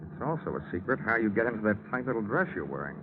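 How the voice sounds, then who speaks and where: Hard-boiled American radio detective. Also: male voice, fairly low pitch, sing-song, fast talker, noisy background. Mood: sardonic, stern. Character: tough, wisecracking male insurance investigator and narrator. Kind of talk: 1940s radio detective drama acting. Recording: dull 1949 radio recording, music behind.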